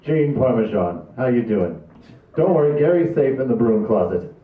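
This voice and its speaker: A man's voice: mid-range voice, animated, normal speed, close to an everyday voice. A man reading the part of a chummy, money-minded private investigator in a casual comedy table read. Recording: roomy, distant, phone-like sound.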